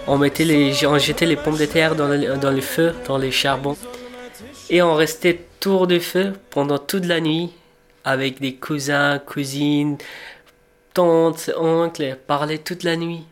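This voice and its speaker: A man in his twenties from Afghanistan, a cook, telling his life story in a reflective, unhurried way, pausing and restarting as he searches for words. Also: a little high, fairly even intonation. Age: 20s. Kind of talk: personal storytelling for a documentary portrait.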